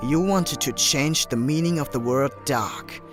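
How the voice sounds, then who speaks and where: A man playing a cold, ruthless monster trainer in an anime-style fan dub, lecturing with contempt and bursting into shouts. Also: medium pitch, measured pace, natural voice. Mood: cold, scornful. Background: background music.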